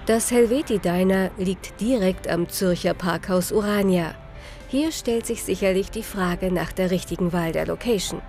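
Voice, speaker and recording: A woman with a Swiss German accent narrating a TV report in a crisp, scripted voice-over. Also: medium pitch, moderate pace, a little mellow. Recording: voice-over with music behind.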